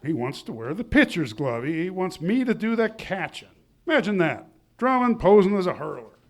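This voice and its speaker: An actor playing a boastful, indignant husband who blusters pompously in an old-time radio comedy. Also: male voice, high voice, big pitch swings, normal speed. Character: boastful, indignant husband and would-be baseball pitcher.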